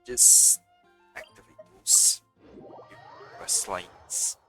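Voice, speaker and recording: A man's voice: extremely slow, sharp tone. A man giving casual, rambling live commentary as he plays a video game, offhand and sweary. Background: game music.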